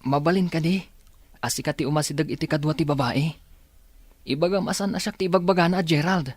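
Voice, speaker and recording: A man's voice: a little high, a little fast, husky, dry recording. A man acting a lovesick, anxious young male student in an Ilocano radio drama. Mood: pleading, nervous.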